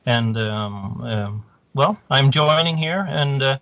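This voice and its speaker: A Swedish man on a video call, speaking halting English and searching for words. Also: mid-range voice, animated, creaky, fairly bright tone, dry recording. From Sweden. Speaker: guest, former teacher.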